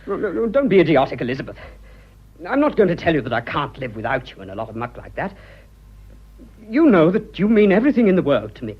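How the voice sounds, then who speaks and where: Young Englishman, lovestruck, awkward, highish husky voice, swooping intonation, clipped period radio drama, muffled recording. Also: sing-song. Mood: agitated, lovestruck. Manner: halting, self-conscious, blurting, clipped old-fashioned speech. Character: earnest, awkward young businessman hopelessly in love.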